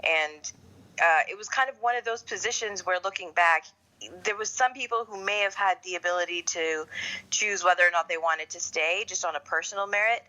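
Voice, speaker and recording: A middle-aged woman from Canada talking as a podcast guest in a measured, reflective, conversational way. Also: lowish voice, pushed and bright, low-quality recording. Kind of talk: conversational interview.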